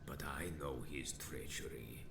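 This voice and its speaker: An actor playing an ancient, proud and vengeful vampire elder in a video game, speaking gravely in formal, archaic, theatrical phrases. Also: male voice, steady tone, measured pace, echoey room, background noise, full character voice. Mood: bitter, vengeful. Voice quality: very deep, grave.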